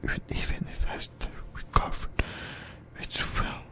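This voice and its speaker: This is an ASMR host reading an explainer in a breathy whisper. The pace is very slow and halting, with phrases often repeated or corrected along the way. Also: bright, forceful tone. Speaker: host of an anime ASMR channel.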